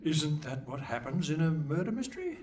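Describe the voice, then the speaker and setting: A man's voice: a little high, very animated, a little fast, darkish tone. A man playing a wry, bantering announcer in a comic radio play. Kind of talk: comic scripted narration before live audience. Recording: echoey hall, slightly distant mic.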